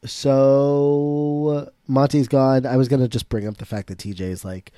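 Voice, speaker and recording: A man co-hosting a podcast in casual recap chat, sounding stuffy and tired, talking in a relaxed way that often trails off quietly. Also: mid-range voice, a little slow, clear, resonant tone, no echo, clean studio sound. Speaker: podcast co-host.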